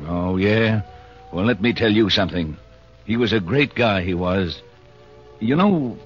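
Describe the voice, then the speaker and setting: A low-voiced, grumpy old man with a sentimental streak, from a 1940s radio play. His slangy tough talk swings widely in pitch, on a dull vintage recording. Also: lively intonation, a little slow, faint music behind. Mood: fond, sentimental.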